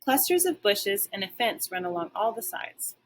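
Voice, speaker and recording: A woman's voice: mid-range voice, very animated, normal speed. A woman reading a children's story aloud like a teacher, expressively, with dramatic and varied emphasis.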